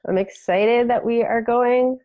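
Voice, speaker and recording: A woman's voice: fairly high pitch, steady tone, measured pace, warm tone, dry recording, dull audio. Nasal, stuffed-up woman co-host. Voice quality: a little nasal. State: stuffed up from a cold. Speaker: podcast co-host. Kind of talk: casual podcast chat.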